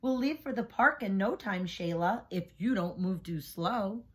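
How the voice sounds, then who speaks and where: A woman's voice: medium pitch, animated, a little slow, fairly bright tone, slightly rough. A woman voicing a firm but encouraging storybook mother who gives orders and coaxes. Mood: brisk, instructive. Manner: giving orders, coaxing. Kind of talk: picture-book character reading.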